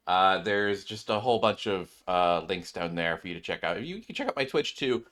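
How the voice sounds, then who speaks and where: Low-voiced man doing casual game commentary. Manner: excitable, exclamatory, repeats phrases. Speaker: gaming channel host. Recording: music behind the voice.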